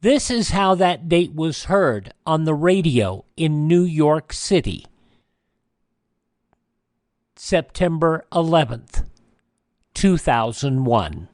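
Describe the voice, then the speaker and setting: A man hosting a radio program, reading a scripted introduction in a measured, solemn way. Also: on the high side, sing-song, a little mellow, no room sound, studio quality. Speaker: radio host.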